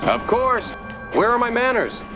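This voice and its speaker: Male actor as self-important cartoon big shot, high voice, swooping intonation, theatrical, music behind. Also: very animated, unhurried, heavily acted. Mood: showy, chatty. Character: brash, self-important man, by turns official and TV reporter. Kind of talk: animated motion comic acting.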